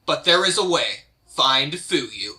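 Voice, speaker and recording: A man's voice: on the high side, expressive, takes it slowly, sharp tone, exaggerated voice. A man playing an anxious, earnest, urgent hero in an amateur fantasy melodrama read. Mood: grave, urgent.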